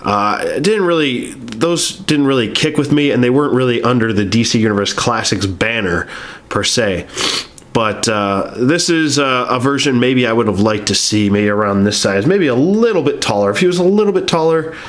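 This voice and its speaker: A man's voice: mid-range voice, expressive, normal speed. A man with a stuffy nose from being sick, chatting casually about his action figures in a rambling, hesitant way full of ums and uhs. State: sick and stuffy-nosed, sniffling. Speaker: toy collector reviewing action figures. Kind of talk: casual review chat to camera.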